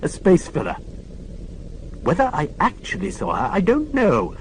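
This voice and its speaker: A woman's voice: on the low side, wildly swooping pitch, measured pace, gravelly, a little hiss or hum, voice pushed up, full character voice, poor audio. A man reading an audiobook, voicing a deaf former head nurse in her eighties who talks in a precise, lecturing way. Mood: pedantic. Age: 80s.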